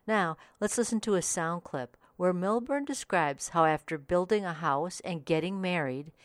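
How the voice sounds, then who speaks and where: Low-pitched woman, dry studio sound. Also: no echo. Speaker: podcast host and audiobook reviewer. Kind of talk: scripted review narration.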